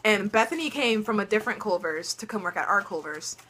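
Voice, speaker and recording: Chatty bright-voiced teen girl vlogger. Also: medium pitch, expressive, a little fast, a little hiss or hum. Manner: chatty, laughing often, lots of ums. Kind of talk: casual storytelling chat.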